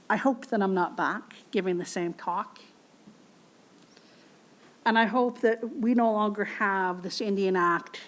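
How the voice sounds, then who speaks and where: A woman's voice: mid-range voice, a bit bright. Plainspoken woman giving a lecture. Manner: plainspoken, informal, frequent fillers. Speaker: keynote lecturer. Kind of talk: academic lecture and Q&A answers.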